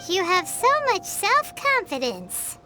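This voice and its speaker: A tiny game fairy, with an extremely high childlike squeak that bounces around in pitch. The character is cheeky and matter-of-fact, speaking over loud music. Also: female voice, huge pitch swings, slow, full character voice. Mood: curious. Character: tiny childlike fairy companion, chirpy and blunt. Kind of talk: video game voice acting.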